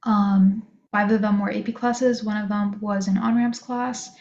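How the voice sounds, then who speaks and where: A teenage woman who grew up speaking Spanish talks casually in a mid-pitched voice, like a student vlogger addressing her viewers. The recording is roomy and a little dull. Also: flat delivery, unhurried, a little mellow. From moved to the US from abroad. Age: teens, high school student. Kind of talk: casual chat to camera. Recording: roomy echo, slightly dull sound.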